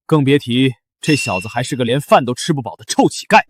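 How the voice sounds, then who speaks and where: An actor playing a god disguised as a beggar husband, calm and assured. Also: male voice, medium pitch, fairly quick, a little hiss or hum, natural voice. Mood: mocking. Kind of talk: short-drama acting.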